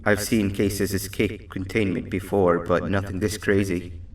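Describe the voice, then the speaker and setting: A steady, wary game companion with a low voice. He sounds set back in a roomy space with faint noise behind. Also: measured pace, distant mic. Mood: calm, wary. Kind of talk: video game voice acting.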